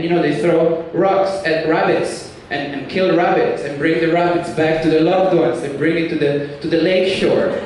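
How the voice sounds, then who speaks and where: A young Italian man with a clear Italian accent works a comedy club crowd in a loose, teasing way, often jumping up into high, mocking voices. His voice sits a bit high for a man. It carries through a very echoey room, miked from a distance, with a noisy hall behind him. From Italy. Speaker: stand-up comedian. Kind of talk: stand-up comedy set.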